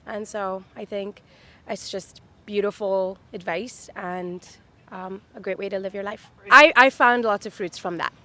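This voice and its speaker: A woman's voice: mid-range voice, fairly even intonation, a little slow, pushed and bright. A woman in her thirties from Dubai, chatting upbeat as a street interview guest, with many fillers. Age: 30s. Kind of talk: casual chat. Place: outdoors on a city street.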